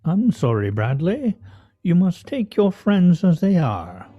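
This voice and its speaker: An actor playing a pompous, sardonic husband in a radio play, speaking in a wry, theatrical, mock-formal way. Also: male voice, high voice, sing-song, slow pace, dull audio, soft background music, heavily acted. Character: pompous, sardonic husband at a weekly scrabble game.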